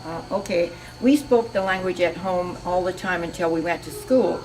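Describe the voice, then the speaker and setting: A woman's voice: on the low side, moderate pace, a little mellow, low-quality recording. An older woman from Seattle being interviewed, reminiscing in a chatty, meandering way with frequent restarts. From Seattle, USA.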